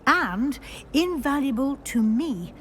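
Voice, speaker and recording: A woman playing a shrewd witch professor in a video game, speaking in a brisk, formal, articulate and gently admonishing way. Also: sits high, expressive, a little hiss or hum, exaggerated voice. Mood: businesslike. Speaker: teacher. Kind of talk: scripted video game acting. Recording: music behind the voice. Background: background music.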